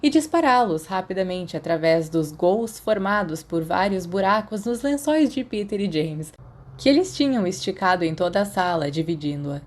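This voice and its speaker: Woman, Brazilian accent, lower-pitched voice, scripted fiction narration, audiobook reading. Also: animated, normal speed, a little mellow.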